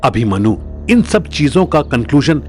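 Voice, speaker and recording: Animated male audio story narrator. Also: mid-range voice, a little slow, faint music behind.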